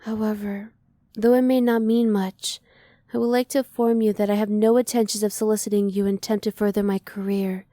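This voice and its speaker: A woman voicing a curious, emotionally reserved alien scientist in an ASMR roleplay, speaking softly in measured, formal phrases. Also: mid-range voice, little pitch movement, dry recording, studio quality, close to an everyday voice. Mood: apologetic, restrained. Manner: soft, measured, formal, restrained. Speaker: voice actor.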